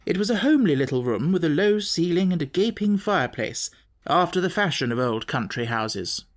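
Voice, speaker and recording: Guy reading an audiobook, slightly high voice, measured and precise literary narration. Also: sing-song.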